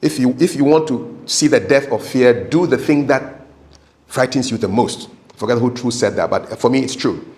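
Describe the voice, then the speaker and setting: A man's voice: medium pitch, moderate pace, a little mellow. A man giving a live training talk as a public speaking coach, conversational and instructive, repeating key points for emphasis and often checking in with short tag questions. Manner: emphatic, repetitive, checks in with "okay?".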